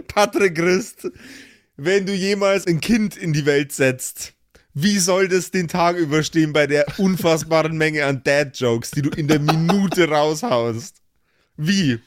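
A man's voice: on the high side, dry recording, clean studio sound. A young man acting as game master in an improvised audio play, narrating animatedly with frequent restarts. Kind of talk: improvised narration and table chat.

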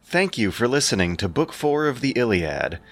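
A man narrating and hosting a scripted audio production in a measured, prepared delivery. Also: mid-range voice, big pitch swings, fairly bright tone. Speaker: narrator and host. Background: music.

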